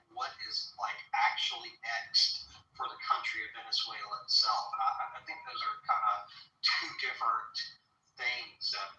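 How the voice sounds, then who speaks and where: A young man talking while driving, halting and hedging with many fillers in a political discussion. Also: mid-range voice, pushed and bright. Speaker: panel guest. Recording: echoey, distant from the mic.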